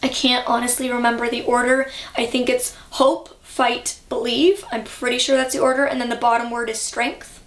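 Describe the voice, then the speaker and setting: A woman's voice: fairly high pitch, level tone, moderate pace, hardly any pauses, fairly bright tone, roomy sound. A young woman from Canada talking casually and reflectively to her viewers, with frequent ums and likes. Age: young adult. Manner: chatty, reflective, many fillers. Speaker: vlogger. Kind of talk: casual vlog talk.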